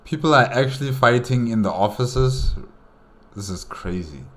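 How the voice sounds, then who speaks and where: A man's voice: medium pitch, animated, moderate pace, slight background noise. A man giving casual reaction commentary in a musing, hesitant way, often putting questions to himself. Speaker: host reacting to a game movie.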